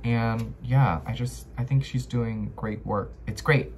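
A man's voice: medium pitch, steady tone, takes it slowly, a little mellow, slight background noise. A man in his twenties giving a casual, playful book review to camera in slangy, chatty language from his bedroom. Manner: playful, slangy, with frequent "like" and trailing emphasis. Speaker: book vlogger. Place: small bedroom, indoors.

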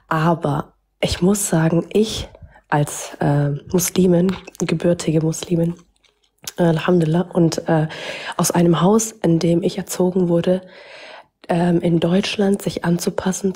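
A woman's voice: lowish voice, dark tone, breathy edge. A woman calling into a live debate, asking earnest questions with many hesitations. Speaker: caller.

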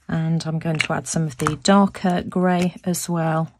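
A woman with a croaky voice talking through a craft demonstration at her table, thinking aloud as she works and often repeating words. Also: low voice, level tone, measured pace, background noise. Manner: chatty, thinking aloud with restarts. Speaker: craft video host. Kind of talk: casual craft tutorial narration. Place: home craft room, tabletop.

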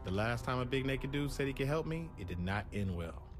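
An actor playing a wisecracking, flamboyant street gangster in a video game, with slangy, incredulous delivery. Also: male voice, mid-range voice, expressive, a little fast, music in the background, natural voice. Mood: wry disbelief. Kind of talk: video game cutscene acting.

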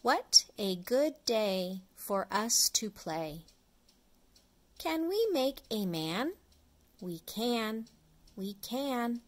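Slow, deliberate woman reading to children. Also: a little high, expressive. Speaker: storyteller, children's read-along host. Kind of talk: read-aloud of an early reader picture book for young children.

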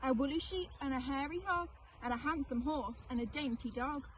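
A woman reading a children's story aloud in an expressive, child-friendly storytelling manner. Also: high voice, moderate pace, noisy background. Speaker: storybook reader.

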